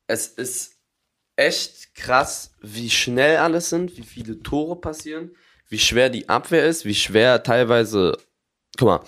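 Co-host, a man of average pitch, excited and rambling about gameplay. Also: slow. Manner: enthusiastic gamer talk, fillers and restarts. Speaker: podcast co-host. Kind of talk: casual podcast chat.

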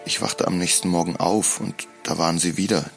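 Male narrator, very low with gravelly fry, for solemn, deliberate, dramatic first-person monologue. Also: fairly even intonation, faint music behind.

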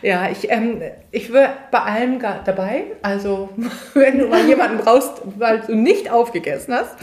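A woman hosting a podcast interview, who laughs often and asks her questions in a lively, rambling way. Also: on the high side, normal speed, reverberant. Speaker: podcast host.

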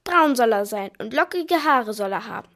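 Woman playing an eager high-pitched little girl. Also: expressive, moderate pace, a bit bright. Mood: earnest, hopeful. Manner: insistent, childlike. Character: eager, pleading nine-year-old girl longing for a dog. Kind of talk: children's radio play acting.

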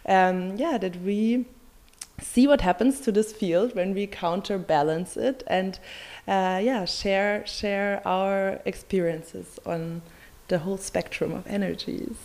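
A woman's voice: medium pitch, slow, a little mellow. A woman co-hosting a podcast, chatting casually and bubbly, with many fillers and frequent laughter. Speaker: podcast co-host.

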